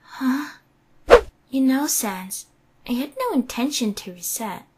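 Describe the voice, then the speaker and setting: A woman as a high-pitched, slow-spoken, cutesy child. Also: animated, heavy background noise. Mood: earnest. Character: sweet, playful human child, innocent and curious. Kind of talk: comic dub voice acting. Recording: music under the voice.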